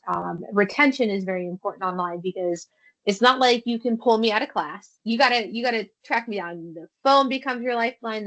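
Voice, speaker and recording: A woman hosting a podcast, asking her guest questions in a loose, conversational way. Her phrasing is relaxed and informal, and she leans into casual chat. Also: medium pitch, low-quality recording. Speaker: podcast host.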